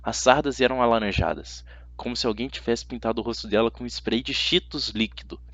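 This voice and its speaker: A man with a Brazilian accent narrating a first-person story as an amateur audiobook reader, dramatic and expressive. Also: mid-range voice, a little fast, no room sound. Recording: slightly dull, dry audio.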